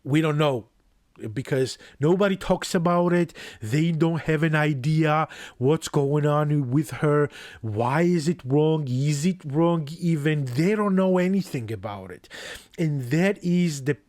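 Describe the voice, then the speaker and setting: A man's voice: on the high side, measured pace, darkish tone, no echo, studio quality. A young man from Georgia, a native Georgian speaker, talking casually about a book he loves, thoughtful and hesitant with many restarts. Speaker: book reviewer.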